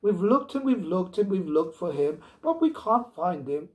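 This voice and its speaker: A man's voice: high voice, very animated, normal speed, a little mellow, pitched above the performer's own voice. Man voicing a firm, exasperated storybook mother. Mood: soothing. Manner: emphatic, firm, coaxing. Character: exasperated, stubborn mother, firm and dismissive of robots. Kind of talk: storybook character reading.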